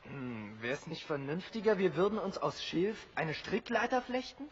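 An eager young male castaway in an audio drama. The voice is high and very husky, matter-of-fact and sensible, ringing with long reverb in a slightly dull recording. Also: big pitch swings, normal speed, full character voice. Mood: sensible, practical. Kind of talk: German audio drama acting.

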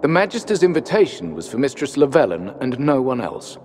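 An actor playing a stiff, formal castle herald in a video game, officious and announcing. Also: male voice, mid-range voice, sing-song, a little fast, a little mellow, lightly acted. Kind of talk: video game cutscene acting. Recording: long hall reverb, background ambience noise.

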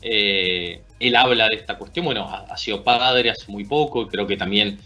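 A man's voice: on the low side, moderate pace, sharp tone, soft background music. Thoughtful Argentine man talking basketball. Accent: Argentine Spanish. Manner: thoughtful, tag questions. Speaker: basketball analyst. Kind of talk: casual sports discussion. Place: small room at home. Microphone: video call.

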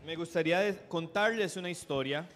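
Man in his thirties, high voice, live interpreting, handheld mic, restarts mid-phrase. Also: fairly quick, a bit bright. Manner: follows each phrase, with restarts and self-corrections. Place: stage in a hall.

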